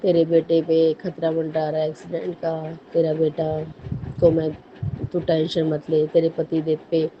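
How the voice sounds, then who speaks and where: A hoarse woman speaks low and fairly flat, telling her devotional story in an earnest, halting way. She keeps circling back to thanks and apologies. The voice note sounds dull and lo-fi, with faint background noise. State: hoarse from a cold and cough. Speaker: devotee sharing a testimony. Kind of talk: devotional personal testimony.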